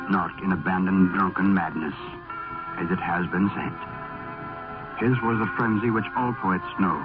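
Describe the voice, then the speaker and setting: Deep-voiced male radio narrator over music. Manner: measured, earnest, formal. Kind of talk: scripted dramatic radio narration. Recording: tinny vintage radio recording.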